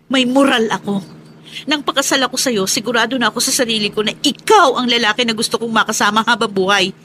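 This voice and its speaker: An actress playing a fed-up, defiant and wounded young daughter-in-law in a Filipino radio drama, speaking with indignant emotion. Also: female voice, on the high side, quick pace, bright, forceful tone, slight background noise. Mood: indignant, hurt.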